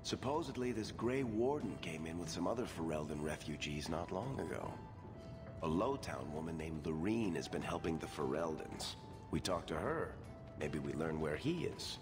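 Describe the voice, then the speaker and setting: A roguish dwarf storyteller from a fantasy game. His voice sits extremely low, and he talks with wry, easy assurance. Music plays clearly behind him. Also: expressive, normal speed. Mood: easygoing, confiding. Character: roguish dwarf storyteller, smooth and easygoing man. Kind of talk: video game voice acting.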